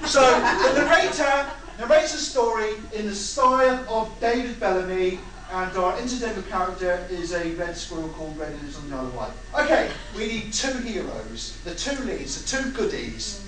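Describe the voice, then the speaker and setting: A man's voice: high voice, animated, normal speed, hardly any pauses, background noise. A man hosting a casual live brainstorming session, repeating audience suggestions back in a jokey, exasperated way. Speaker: panel host gathering audience suggestions. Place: convention hall with live audience. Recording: echoey hall from far off, crowd chatter.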